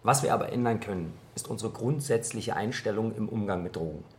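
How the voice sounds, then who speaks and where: An earnest politician played by a male actor speaks in a lowish voice, reasoned and insistent, as in a parliamentary committee. It is radio drama, with faint noise behind the voice. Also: brisk, a little mellow, close to an everyday voice. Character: male politician arguing earnestly for drug policy reform.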